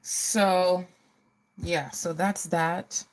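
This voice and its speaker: A woman's voice: fairly low pitch, fairly even intonation, extremely slow, rough recording. A middle-aged woman hosting a casual get-ready livestream, chatting to viewers and often repeating her phrases.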